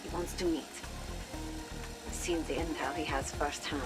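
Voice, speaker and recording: Actress as determined young female operative, low voice, earnest and confidential, video game drama. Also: fairly even intonation, normal speed, over loud music, close to an everyday voice. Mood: urgent, confiding. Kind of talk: video game audio log acting.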